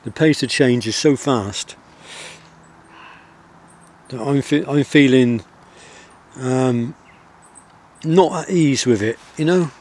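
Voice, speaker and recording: A middle-aged man with a Wiltshire country accent, vlogging outdoors in the countryside and reflecting in a soft, rambling way full of pauses. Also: mid-range voice. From England. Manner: soft-spoken, rambling, with many pauses and fillers.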